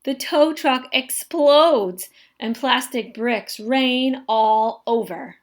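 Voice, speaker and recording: A woman reads a children's story aloud with plenty of drama. Her voice sits fairly high, and she takes her time, stretching words out expressively. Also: extremely slow. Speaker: story reader. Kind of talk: animated children's picture book read-aloud.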